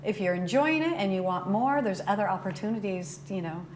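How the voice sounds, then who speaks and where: A woman's voice: medium pitch, animated, a little fast, a little mellow, a little hiss or hum. An American woman working as a TV host, chatting with guests and presenting local announcements. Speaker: TV show host.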